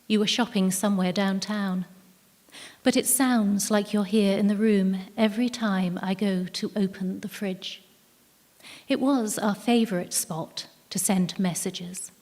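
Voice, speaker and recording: A woman giving a measured, expressive literary reading of a first-person short story at a live event. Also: medium pitch. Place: live event venue, indoors. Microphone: stage microphone. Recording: echoey hall at a live event.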